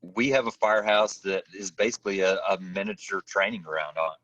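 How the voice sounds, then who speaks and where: A man's voice: low voice, a little fast, fairly bright tone, no echo. A man hosting a podcast interview, rambling and hesitant as he searches for his words. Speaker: podcast host. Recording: dull, distant dry remote audio.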